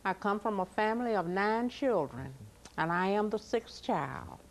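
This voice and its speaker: A middle-aged woman from Tennessee, telling her life story as an interview guest in an animated way. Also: medium pitch, unhurried, slight background noise. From Tennessee, USA. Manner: animated storyteller, raises her voice when quoting people. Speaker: author and teacher guest.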